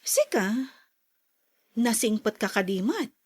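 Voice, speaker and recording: Woman acting a high, coy, demure young lady. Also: very animated, slow pace, darkish tone, husky, no room sound, clean studio sound, heavily acted. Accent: Ilocano. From Philippines. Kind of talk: Ilocano radio drama acting.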